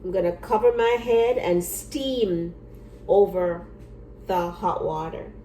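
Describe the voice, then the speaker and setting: An older woman with a fairly low voice talks in an easy, chatty way, going over each step and circling back to repeat herself. She is recorded at home in an echoey room, with a faint hiss underneath. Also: slow pace. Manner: relaxed and chatty, repeats herself and walks through steps. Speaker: retired nurse sharing a home remedy. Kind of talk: casual home demonstration video.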